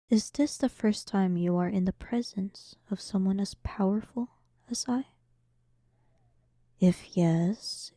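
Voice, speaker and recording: Dark, slow, taunting demon queen played by a woman. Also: medium pitch, dry recording, clean studio sound. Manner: slow, teasing, condescending, breathy half-whispers. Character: powerful female demon queen, dominant, sarcastic, playfully taunting. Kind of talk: scripted audio roleplay addressed to the listener.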